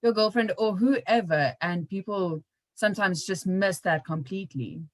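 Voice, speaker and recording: A woman's voice: mid-range voice, normal speed, no echo. A woman chatting casually as a podcast interviewer, with a careful, tentative way of putting things.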